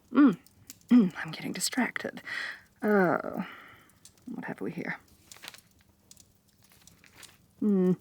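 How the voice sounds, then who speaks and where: Casting: woman to voice wealthy snake-woman boss, haughty and imperious, soft husky edge, mid-pitched, ASMR roleplay. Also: exaggerated voice. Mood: distracted, exacting. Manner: haughty, domineering, talks down to the listener. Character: haughty rich female lamia, bossy mistress, slightly tsundere.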